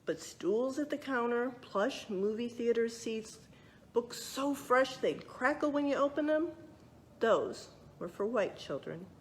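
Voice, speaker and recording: A woman's voice: on the high side, animated, unhurried, low-quality recording. A woman reading a picture book aloud expressively, adding explanatory asides and questions for young listeners. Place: museum exhibit space.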